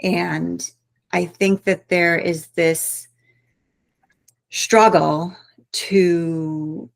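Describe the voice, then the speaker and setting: A woman with a lowish voice talks as the guest of an interview, reflective and earnest, working her thoughts out aloud. The sound is dry and close, with little room in it. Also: steady tone, extremely slow, creaky, dry recording. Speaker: author guest. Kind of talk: interview conversation.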